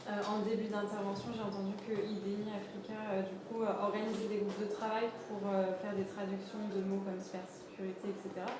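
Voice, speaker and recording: Flat-voiced woman asking from afar. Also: medium pitch. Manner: hesitant, halting question with fillers. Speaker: audience member asking a question. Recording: echoey hall, distant, background noise.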